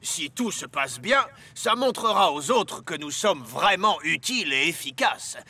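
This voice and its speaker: A man voicing an agreeable, steady little steam engine with a raspy, creaky voice in a children's cartoon dub. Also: fairly high pitch, measured pace, no echo, exaggerated voice. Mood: eager.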